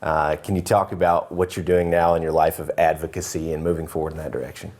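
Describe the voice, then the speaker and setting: A man's voice: very deep voice, steady tone, fast, a little mellow. A man interviewing a guest, enthusiastic and repeating words as he searches for them. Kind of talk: interview questions.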